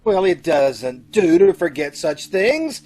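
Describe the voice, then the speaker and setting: A man's voice: a little high, big pitch swings, slow, a little mellow, heavily acted. A man playing a nosy, effusive hotel bellhop who fusses and scolds, in an old-time radio comedy. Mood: fussy, scolding.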